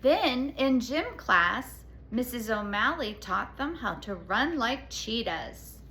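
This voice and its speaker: Children's read-aloud storyteller, woman, highish bright voice, expressive, chatty asides, slight noise behind. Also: slow pace. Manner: expressive, explains pictures and asks listeners questions.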